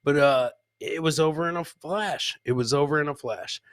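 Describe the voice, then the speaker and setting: Higher-voiced man hosting a comedy show. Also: normal speed, no room sound, clean studio sound. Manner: jokey, addresses co-host and live chat by nickname. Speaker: host of a live comedy clip show. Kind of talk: casual live show banter reacting to video clips.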